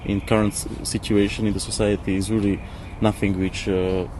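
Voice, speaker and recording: A man, speaking in a halting, searching way during a reflective interview. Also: fairly low pitch, little pitch movement. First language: not English. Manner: halting, searching for words with repetitions. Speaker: interview guest, former architect. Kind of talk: casual reflective discussion. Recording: noisy outdoor ambience with birds, traffic.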